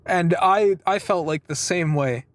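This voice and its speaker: A man's voice: high voice, unhurried, no room sound. A man in his twenties talking in a casual, rambling vlog style, telling stories informally with some swearing and acting out what people said. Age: 20s. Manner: acts out quoted exchanges. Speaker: vlogger giving a weekly update.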